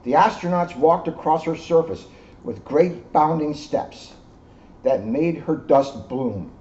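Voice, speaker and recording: A man in his sixties, a police officer reading aloud to children and commenting informally in an earnest, expressive way. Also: a little high, slow. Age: 60s. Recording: muffled, noisy amateur video sound.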